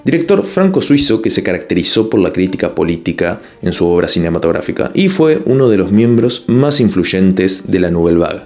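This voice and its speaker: Hombre de voz grave y cálida. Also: male voice, deep voice, big pitch swings, a little fast, hardly any pauses, mellow. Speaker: video essay narrator. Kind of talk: scripted narration.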